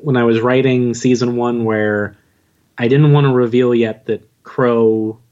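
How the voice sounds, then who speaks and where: A man being interviewed as a podcast guest, chatting casually in a halting, self-deprecating way. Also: medium pitch, fairly even intonation, a little mellow. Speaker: podcast guest, audio drama writer and narrator. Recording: dull, lo-fi audio.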